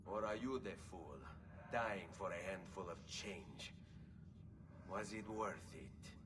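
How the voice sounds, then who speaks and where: A man's voice: sits low, takes it slowly, recorded from a distance, background noise. A man playing a solemn, resolute Italian assassin hero in a video game, with an Italian accent. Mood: grave. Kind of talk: video game drama acting.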